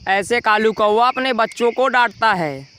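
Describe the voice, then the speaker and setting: A man's voice: sits high, moderate pace, bright, forceful tone, slight background noise. A man narrating a scripted children's moral story as storyteller.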